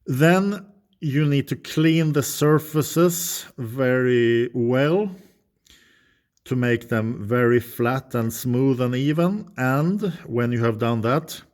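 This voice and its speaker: A man with a Swedish accent, a non-native English speaker, walking through an engine repair in a halting, think-aloud way. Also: mid-range voice, darkish tone. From Sweden. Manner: slow, halting, thinking aloud while working. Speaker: DIY mechanic. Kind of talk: hands-on repair tutorial.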